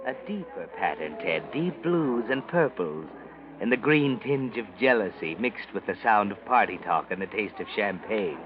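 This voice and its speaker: An actor playing a sinister, all-knowing storyteller in a 1940s radio mystery, narrating in an ominous, deliberate, dramatic way. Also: male voice, fairly low pitch, expressive, close to an everyday voice. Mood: dark, insinuating. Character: sinister, omniscient male storyteller who walks by night. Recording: dull 1940s radio recording. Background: loud dramatic music.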